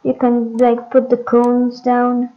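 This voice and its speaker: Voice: medium pitch, monotone, slow pace. A gaming host giving a casual walkthrough of game updates, hesitant and thinking aloud, on a dull lo-fi microphone.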